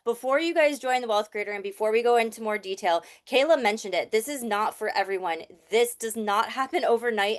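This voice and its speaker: A woman hosting a live online training, urging viewers in the chat to commit, upbeat and direct. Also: on the high side. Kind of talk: sales pitch to a live chat audience.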